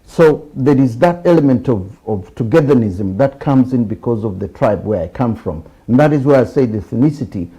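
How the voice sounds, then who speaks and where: Husky-voiced Ugandan man hosting a talk show. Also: expressive, normal speed, few pauses, poor audio. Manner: gestures and pushes back, gets louder and higher when pressing a point. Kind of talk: studio discussion. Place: TV studio.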